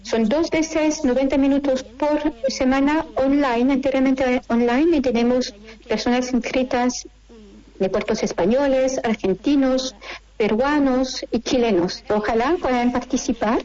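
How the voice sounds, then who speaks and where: A woman with a French accent explaining things as an interview guest, in a halting manner as she searches for words. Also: high voice, warm tone, muffled recording. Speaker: interview guest, course director.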